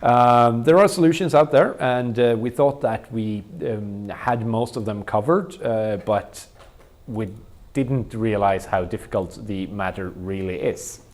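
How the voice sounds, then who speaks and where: A man's voice: fairly low pitch, unhurried, darkish tone. A man with a Swedish accent, a native Swedish speaker, walking through tech equipment in a casual tour with many ums and uhs. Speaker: event organizer showing equipment.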